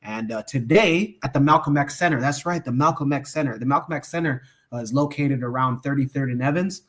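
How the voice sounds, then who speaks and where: A man from Omaha, co-hosting a livestream podcast, giving enthusiastic closing shout-outs with frequent hesitations and fillers. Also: mid-range voice, fairly quick. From Omaha, Nebraska. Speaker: podcast co-host.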